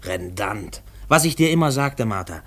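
A man's voice: lowish voice, lively intonation, moderate pace. Brash young railwayman. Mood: mocking. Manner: brash, eager, colloquial. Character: restless, cocky young railwayman, impatient with paperwork. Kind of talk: radio drama dialogue.

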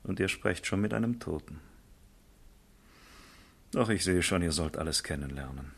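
An actor playing a cynical, world-weary gentleman in a literary radio play, confiding and mocking in a theatrical way. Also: low voice, lively intonation, moderate pace, vocal fry, husky, heavily acted. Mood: sardonic, weary.

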